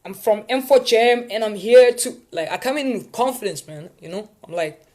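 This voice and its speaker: A man's voice: high voice, moderate pace, darkish tone. A man with a South African accent hosting a casual live session for viewers, talking in a rambling, playful way. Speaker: host of a live tips session.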